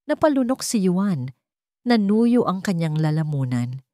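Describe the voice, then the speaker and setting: Low-voiced Filipino woman narrating a dramatic story. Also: sing-song, warm tone, no room sound, studio quality. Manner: measured, solemn, dramatic delivery.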